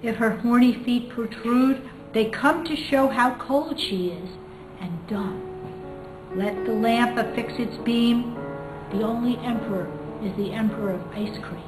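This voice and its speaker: A woman English teacher reading and explaining literature informally, in a thoughtful way. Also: a little high. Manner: thoughtful, hesitant, pauses often to find words. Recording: echoey room with music behind.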